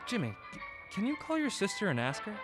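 Male actor as easygoing, dryly witty amateur detective's partner, animated intonation, radio comedy with light music behind. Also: high voice, fast. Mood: agreeable. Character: agreeable, dry-witted man, amateur sleuth sidekick. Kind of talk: comedic audio drama acting.

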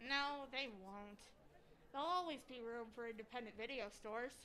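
A woman's voice: fairly high pitch, moderate pace, bright, forceful tone. A woman playing a casual, plain-spoken cinema character in an audio drama. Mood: argumentative, casual. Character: female cinema-going film fan and concession clerk. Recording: clear background ambient noise.

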